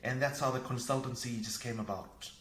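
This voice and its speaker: A man from Fiji, a parenting coach and podcast guest, talking in an energetic, upbeat way. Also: mid-range voice, brisk, slight background noise. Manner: energetic, upbeat, laughs easily. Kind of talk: casual conversation. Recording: echoey room.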